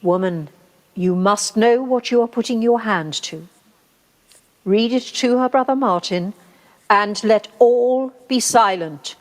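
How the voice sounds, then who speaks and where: A woman's voice: fairly high pitch, slow. A woman playing a solemn, authoritative church judge in a radio play, with grave and formal delivery. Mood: stern. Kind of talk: radio play acting, formal archaic speech. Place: actor's home, live remote recording.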